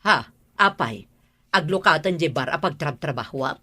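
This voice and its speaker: A woman's voice: deep voice, lively intonation, moderate pace, bright, forceful tone, heavily acted. A woman with an Ilocano accent playing a bossy, affectionate older sister in a radio drama. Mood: brisk, questioning. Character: bossy yet affectionate older sister asking her brother favours.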